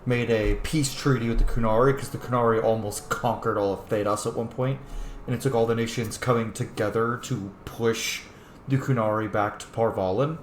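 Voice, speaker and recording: A man comments casually on a video game, offhand and a little wry. His mid-pitched voice sits in a roomy, echoing space with faint noise. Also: steady tone, moderate pace. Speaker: gamer commenting on playthrough.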